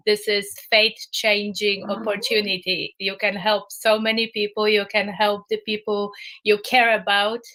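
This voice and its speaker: A woman's voice: medium pitch, pushed and bright, rough recording. Enthusiastic Polish-accented woman. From Poland. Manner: enthusiastic, encouraging. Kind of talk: casual live-stream chat.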